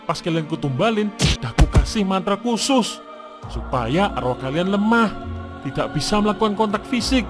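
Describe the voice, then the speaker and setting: A man's voice: high-pitched, very animated, moderate pace. A man voicing a greedy, smug property boss, a gloating and mocking villain in a comic horror cartoon. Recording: music playing behind.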